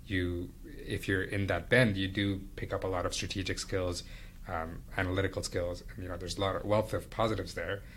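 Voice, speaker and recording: A man talks in a deep voice that stays quite flat. He is a podcast guest, reflecting and explaining at a measured, conversational pace with pauses. Faint background noise sits under the recording. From India and Venezuela, then Canada from age thirteen. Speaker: podcast guest, business executive. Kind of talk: conversational interview, reflective personal storytelling.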